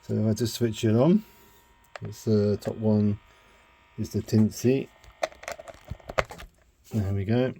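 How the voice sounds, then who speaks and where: Man, hobbyist tech demo, unhurried pace, medium pitch, halting casual delivery, faint noise and clicks. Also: steady tone, vocal fry, warm tone. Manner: halting, thinking aloud. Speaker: hobbyist demonstrating a homemade device. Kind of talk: casual rambling tech demonstration.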